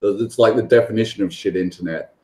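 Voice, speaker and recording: A man's voice: on the low side, a little fast, mellow, echoey room. An Australian man on a video call, blunt and teasing, swearing freely in casual chat. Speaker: panel guest.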